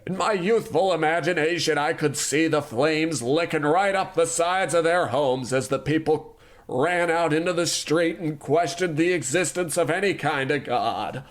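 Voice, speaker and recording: A man's voice: on the high side, moderate pace, lightly acted. A man playing a pompous, flippant animation tycoon in a comedy radio play, smug and careless. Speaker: company boss character.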